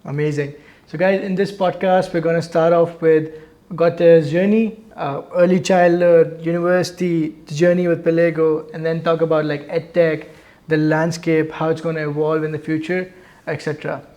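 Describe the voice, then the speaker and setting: A man's voice: fairly high pitch, level tone, normal speed, darkish tone. A man hosting a founder interview podcast, asking questions in an upbeat, casual way. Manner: upbeat, friendly, casual phrasing. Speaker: podcast host.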